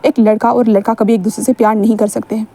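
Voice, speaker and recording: Curt, dismissive older man read by a woman, mellow high tone, blunt replies. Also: level tone, fast. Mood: stern. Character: stern older male mentor.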